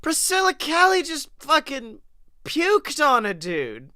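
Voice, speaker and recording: A man's voice: very high voice, huge pitch swings, slow, pushed and bright, dry recording, studio quality. A young man hosting a podcast with casual, profane banter. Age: young adult. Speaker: podcast host.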